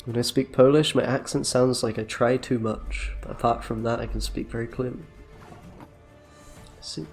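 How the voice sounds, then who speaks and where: A young man talks casually through his card game from a small room, joking and reacting out loud as he plays. His voice has a gravelly, fry-edged texture, and faint game music runs underneath. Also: mid-range voice, fairly even intonation, moderate pace. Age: young adult. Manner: chatty, jokey, reacts aloud to plays. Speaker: streamer. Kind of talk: casual gameplay commentary.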